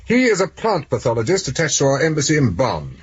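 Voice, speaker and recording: A man's voice: mid-range voice, wildly swooping pitch, a little fast, slightly rough, exaggerated voice. A man playing a brusque, sardonic British police superintendent in a radio drama. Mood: dry briefing. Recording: muffled radio recording with faint noise.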